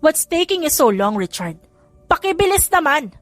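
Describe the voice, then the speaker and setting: Woman doing a high, haughty, spiteful mistress. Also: big pitch swings, moderate pace, faint music behind. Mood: impatient disgusted. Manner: haughty, impatient, mixing English into speech. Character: spiteful, disdainful villainous mistress, sneering and threatening. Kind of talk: audiobook drama dialogue.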